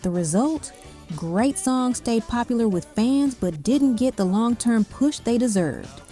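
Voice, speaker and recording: A woman's voice: fairly high pitch, lively intonation, a little slow, warm tone. A woman reading scripted narration for a music countdown, delivered in emphatic, short punchy phrases. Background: faint music under the voice.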